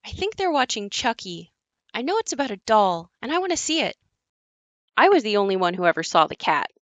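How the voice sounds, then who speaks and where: A woman playing an adult narrator who looks back on her haunted childhood home in a scripted audio play, reflective and measured. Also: fairly high pitch, big pitch swings, dry recording, dull audio. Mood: reflective, confiding.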